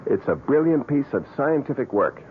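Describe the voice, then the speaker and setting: An actor playing an eager, excitable young camera enthusiast in an old-time radio drama. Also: male voice, mid-range voice, normal speed, natural voice. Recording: muffled vintage radio broadcast, faint noise.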